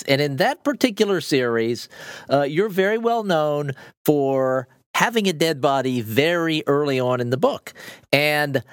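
A woman hosting a podcast interview, friendly and quick to laugh. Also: low voice, no echo, studio quality. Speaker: podcast host.

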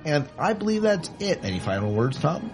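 A man's voice: on the high side, sing-song, fairly quick, music in the background. A man hosting a podcast in a casual, chatty style. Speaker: podcast host.

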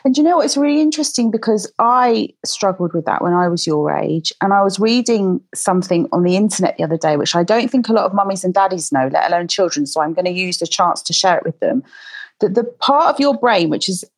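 A woman in her forties hosting a podcast, chatty and encouraging, speaking gently to a child guest. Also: mid-range voice, animated, a little fast, slightly rough, no room sound. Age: 40s. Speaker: podcast host. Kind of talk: warm casual interview chat.

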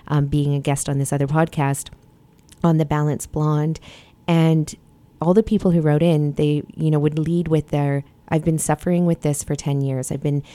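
A woman's voice: sits low, warm tone. A woman hosting a podcast as a health coach, talking earnestly and passionately in casual conversation, with many fillers.

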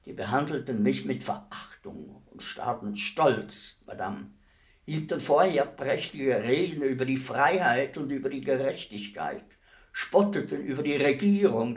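An actor playing a world-weary, philosophical executioner in a radio play, speaking in a deliberate, brooding, respectful way. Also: male voice, mid-range voice, close to an everyday voice. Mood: brooding, intense. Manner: deliberate, brooding, formally addressing a lady. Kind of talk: radio play acting, slow reflective monologue.

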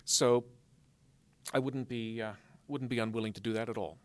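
A man answering interview questions as a guest author, explaining things in a measured way with dry humor. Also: mid-range voice, steady tone, no room sound. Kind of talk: live interview answers before an audience.

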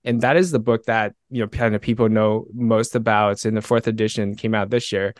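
A man's voice: fairly low pitch, fairly even intonation, fairly quick, no echo, clean studio sound. A man from Taiwan and Southern California talking casually as a podcast guest, modest and self-effacing. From Taiwan, then Southern California. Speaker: technical author, podcast guest. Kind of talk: casual chat.

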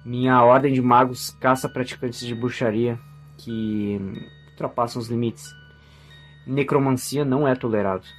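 An actor with a Brazilian accent playing an earnest, scornful hunter of necromancers in an audio drama, grave and explanatory. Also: male voice, medium pitch, steady tone, normal speed, faint music behind, close to an everyday voice. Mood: regretful, grave.

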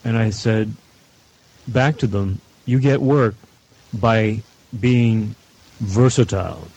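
A middle-aged man giving measured advice as a guest at a roundtable. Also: lowish voice, darkish tone, slightly rough, muffled recording. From Canada. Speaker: audio producer, guest panelist.

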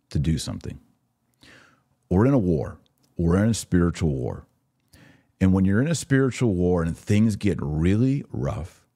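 Male podcast host, middle-aged, bass-leaning and mellow, emphatic religious commentator speaking directly to viewers. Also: husky. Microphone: webcam setup.

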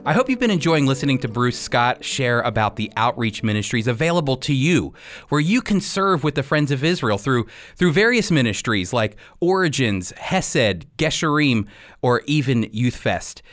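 A man's voice: medium pitch, very animated, moderate pace, fairly bright tone. A man hosting a radio ministry program, speaking directly and encouragingly to listeners as he gives announcements.

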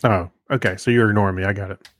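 A man's voice: lowish voice, fairly even intonation, quick pace, no echo, clean studio sound. A man co-hosting a podcast, chatting in an informal, rambling way. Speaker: podcast co-host. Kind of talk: casual chat.

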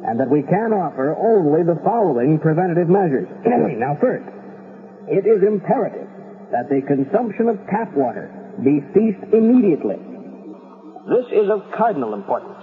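An actor playing an earnest physician in a 1970s radio drama, giving a formal, emphatic, halting emergency health warning on tape. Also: male voice, high voice, very animated. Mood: grave, urgent. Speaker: doctor. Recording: tinny noisy taped radio message.

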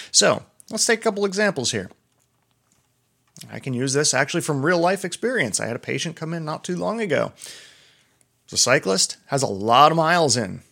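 A man's voice: mid-range voice, bright, forceful tone. A middle-aged man, a doctor, hosting a casual solo podcast, chatty and prone to digressions. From Pennsylvania. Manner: chatty, digressive, self-deprecating.

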